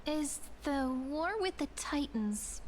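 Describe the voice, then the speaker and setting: Earnest, high-voiced female ally. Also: lively intonation, slow pace, slight background noise. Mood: earnest, hopeful. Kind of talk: video game voice acting. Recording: game music behind.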